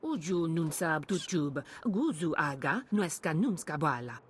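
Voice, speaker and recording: A callous alien researcher in a video game. The voice is very low for a female, and the delivery is detached and unhurried. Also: very animated, a little hiss or hum. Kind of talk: scripted video game dialogue.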